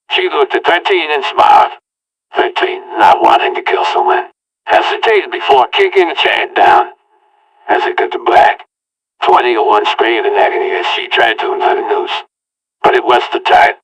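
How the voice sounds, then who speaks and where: A man's voice: mid-range voice, a bit bright. Man narrating from far off the mic. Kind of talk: amateur audio-log storytelling in first person.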